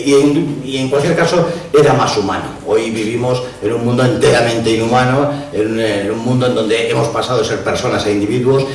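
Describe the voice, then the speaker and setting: A man's voice: mid-range voice, slight background noise. Blunt older man, in an echoey room. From Madrid. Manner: blunt, scornful and nostalgic, with frequent hesitations. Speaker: author. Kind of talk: book presentation talk. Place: bookshop event room. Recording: roomy echo from a distant mic.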